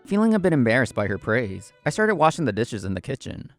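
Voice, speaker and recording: Earnest young male café manager narrating a manga story, played by a man; lively intonation, gravelly edge. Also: medium pitch, fast, faint music behind. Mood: earnest, reflective. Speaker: narrator and lead actor of a manga story video. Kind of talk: scripted first-person narration.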